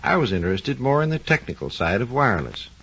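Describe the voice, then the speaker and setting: Knowledgeable doctor, folksy storytelling, very animated intonation, raspy, dull vintage radio recording. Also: male voice, medium pitch, fast talker, heavily acted. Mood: reminiscing, animated. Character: knowledgeable male doctor recalling radio's pioneer days. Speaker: expert storyteller. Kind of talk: scripted 1940s radio narration.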